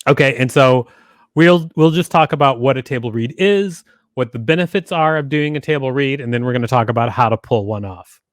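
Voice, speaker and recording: A man's voice: mid-range voice, a little fast, dry recording. Man hosting, close dry sound. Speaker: podcast host. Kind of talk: conversational instructional podcast talk. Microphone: close studio microphone with foam windscreen, headset on.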